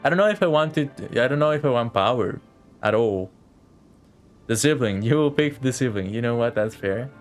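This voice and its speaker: A man's voice: mid-range voice, lively intonation, normal speed, faint music behind. A man giving casual live gameplay commentary as a streamer, chatty, wry and sceptical. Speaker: game streamer.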